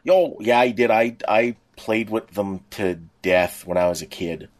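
A man's voice: fairly low pitch, a little slow. Rambling man in casual podcast chat. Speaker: podcast co-host. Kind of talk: casual chat about pop culture.

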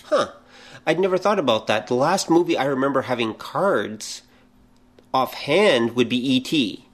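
A middle-aged man co-hosting a podcast, asking and responding casually in a measured, reflective way. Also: medium pitch, animated. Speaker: podcast co-host. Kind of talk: casual conversational questioning.

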